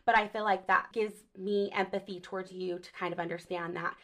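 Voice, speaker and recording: A woman's voice: mid-range voice, steady tone, a little fast, bright, forceful tone. Earnest woman hosting a podcast. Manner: earnest, affirming listener. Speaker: podcast host. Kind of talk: casual conversation.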